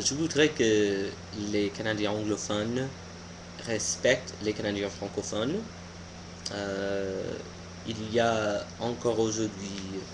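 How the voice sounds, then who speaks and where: Halting American man, noisy recording. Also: lowish voice. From United States. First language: English. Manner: halting, searching for words. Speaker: video blogger. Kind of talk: informal opinion monologue to camera.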